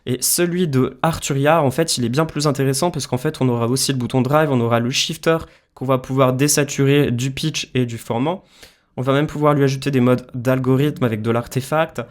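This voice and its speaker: A man's voice: medium pitch, fairly even intonation, a little fast. A man presenting a music production tutorial, explaining things informally, step by step. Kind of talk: casual screen-share software tutorial.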